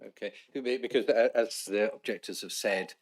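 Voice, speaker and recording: A man chairing a council planning committee, polite but halting, often restarting words. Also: fairly low pitch.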